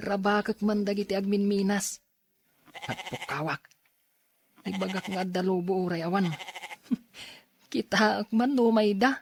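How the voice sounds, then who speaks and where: A woman's voice: mid-range voice, slow, long pauses, slight background noise. A woman playing a cocky, mischievous young shepherd boy in a Filipino audio drama. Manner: playful, boastful.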